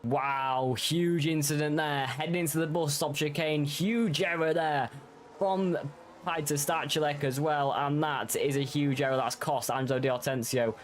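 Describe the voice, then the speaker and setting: A man with a Yorkshire accent co-commentating a sim racing league in a relaxed, self-deprecating way, with frequent ums. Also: fairly high pitch, normal speed, a little hiss or hum. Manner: easygoing, self-deprecating, filler-heavy.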